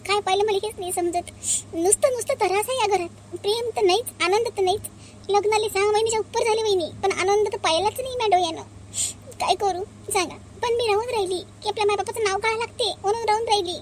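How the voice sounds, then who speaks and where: A very high, almost childlike voice put on by a woman plays a village sister-in-law in a cartoon. She speaks rural Vidarbha Marathi in an emphatic, plaintive way. There is some background noise. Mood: tearful complaint. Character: two village sisters-in-law, aggrieved young wife and protective visitor. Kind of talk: melodramatic cartoon family dialogue.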